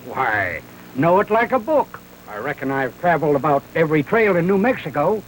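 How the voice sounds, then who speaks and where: High-voiced, folksy old frontiersman. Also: sing-song, moderate pace. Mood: folksy, cautioning. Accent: rural American West. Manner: folksy, rambling drawl. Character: folksy, talkative old frontier trail hand. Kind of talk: 1930s B-western film acting. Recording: noisy old 1930s film soundtrack.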